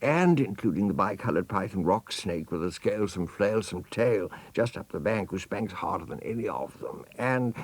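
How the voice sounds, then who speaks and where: A young storybook elephant, pitched way up high and full of lively swoops. The little creature is innocent and endlessly curious, speaking in earnest, over-polite, wordy sentences. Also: male voice, moderate pace, hardly any pauses, no room sound. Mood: polite, pleading. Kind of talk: children's storybook character voice.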